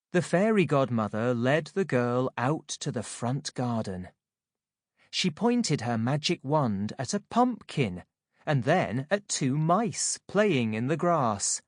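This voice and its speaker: A woman narrates a scripted children's story. Her delivery is slow and measured, with lively, expressive intonation. The sound is dry and close. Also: very deep voice, dry recording. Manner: measured, expressive, clearly phrased. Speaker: audiobook narrator.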